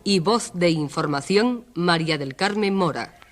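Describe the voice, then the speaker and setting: A man's voice: high voice, lively intonation, measured pace, a little hiss or hum. A man reading scripted radio drama credits. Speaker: credits announcer.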